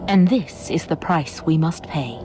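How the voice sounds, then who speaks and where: Low mournful alien prophetess, super slow n cryptic, music behind. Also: female voice, animated, rough recording. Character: mournful, cryptic alien seer woman, solemnly poetic. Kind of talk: video game cutscene acting.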